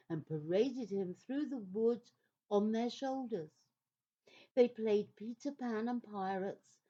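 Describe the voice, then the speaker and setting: A woman's voice: a little high, a little mellow, dull audio. A woman narrating a scripted children's story as part of an audio play.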